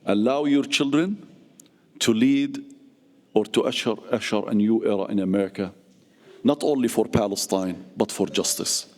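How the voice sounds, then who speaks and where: A man's voice: normal speed, darkish tone. Middle-aged guy giving a fired-up speech in a big echoey mosque hall, keeps repeating himself for emphasis. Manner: passionate, emphatic, with repeated phrases and big pitch swings. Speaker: community speaker and activist. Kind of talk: impassioned public speech. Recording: long reverb of a large hall.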